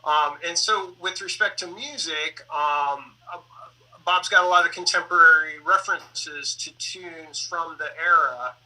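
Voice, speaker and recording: Halting middle-aged man, very bright voice. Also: fairly high pitch, recorded from a distance, poor audio. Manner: halting, broken phrasing with many pauses and self-corrections. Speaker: sound designer and composer for radio plays. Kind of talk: conversational panel talk about theater production.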